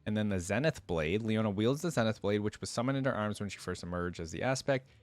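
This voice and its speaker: A man's voice: lowish voice, animated, fast talker, no room sound, soft background music. A man hosting a reaction video, chatting informally and enthusiastically as a fan and reading text aloud. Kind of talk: casual reaction commentary mixed with reading wiki text aloud.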